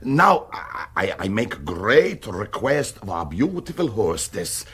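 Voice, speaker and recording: Exuberant Italian gentleman, wildly swooping voice. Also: fairly low pitch, big pitch swings, husky, heavily acted. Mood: effusive, courtly. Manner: effusive, courtly, halting foreign English. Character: exuberant, talkative Italian man, a sociable dinner guest. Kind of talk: radio comedy drama acting.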